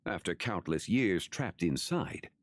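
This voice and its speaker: A man playing an ancient, composed sage in a video game, speaking formally and with measured gravity. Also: low-pitched, animated, slight background noise. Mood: explaining.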